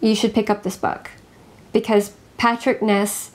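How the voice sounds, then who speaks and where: A woman co-hosting a casual book chat, talking in an expressive, confiding way. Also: mid-range voice, a little slow. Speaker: co-host of a book review show.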